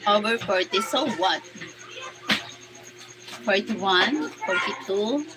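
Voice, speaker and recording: A woman's voice: medium pitch, expressive, slow, low-quality recording. A Filipino woman in her forties, chatting casually on a live stream, reading out comments, thanking viewers by name and laughing often. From Philippines. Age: 40s. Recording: music playing under voice. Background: music playing.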